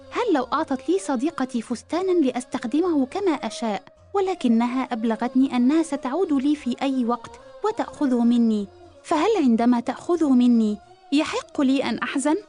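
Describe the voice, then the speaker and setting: A clever, caring older sister in a children's cartoon. Her voice is high and mellow, gentle yet determined and persuasive, over faint music. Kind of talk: cartoon dialogue in formal Arabic.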